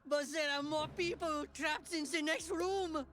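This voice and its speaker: A woman's voice: sits high, steady tone, a little slow, over music. A woman voicing a grateful rescued citizen in a fantasy video game. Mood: urgent gratitude.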